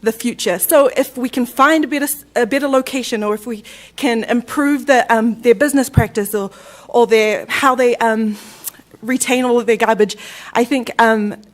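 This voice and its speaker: A woman's voice: on the high side, moderate pace. A woman from New Zealand with a New Zealand accent, making a hesitant public comment at a city council meeting with many fillers and restarts.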